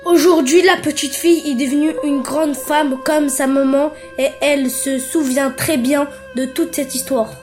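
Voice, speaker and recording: A woman calmly narrating a fairy tale aloud, doing all the voices. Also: sits high, faint music behind. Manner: calm, deliberate narration. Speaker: storyteller.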